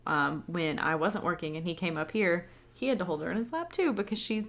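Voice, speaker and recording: A woman's voice: fairly low pitch, fairly quick, fairly bright tone. A woman co-hosting a casual podcast from home, giggly and blunt, with frequent fillers. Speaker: podcast co-host. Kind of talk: casual chat. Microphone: home recording setup.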